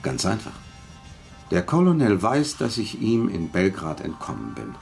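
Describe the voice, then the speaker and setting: A washed-up spy in a German radio drama, with a very low, gravelly voice. He is bitter and set on revenge, telling his story with weary gravity and a widely moving melody. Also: wildly swooping pitch, a little slow, darkish tone, faint music behind, exaggerated voice. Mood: urgent, grim.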